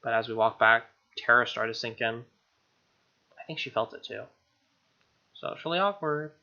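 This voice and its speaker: A man's voice: mid-range voice, normal speed, pushed and bright. A young man reading game text aloud as a let's play host, with irreverent, slangy commentary. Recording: dull lo-fi mic, music behind. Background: game music with guitar.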